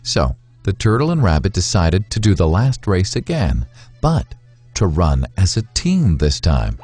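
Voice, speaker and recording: A man narrating a scripted moral fable in a measured, instructive way, with deliberate phrasing. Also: low-pitched, big pitch swings, a little mellow, breathy edge, soft background music. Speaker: storyteller, narrator.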